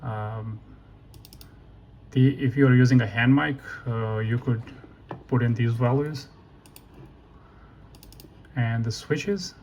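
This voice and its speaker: A man giving a casual, unscripted software walkthrough in a halting way, thinking aloud and correcting himself. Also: medium pitch, fairly even intonation, muffled recording. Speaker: tutorial presenter. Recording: noisy room with keyboard typing. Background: computer keyboard clicks, ambient noise.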